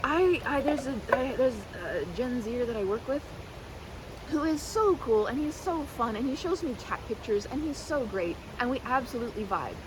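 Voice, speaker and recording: A woman's voice: high-pitched, animated, moderate pace, background noise. A woman rambling casually and animatedly like a chatty best friend, slipping into mimicked quotes in a roleplay.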